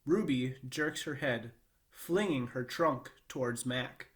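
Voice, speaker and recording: A man reads a children's novel aloud. His voice sits at a middle pitch, and he narrates with expression, as a storyteller to young listeners. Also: slow.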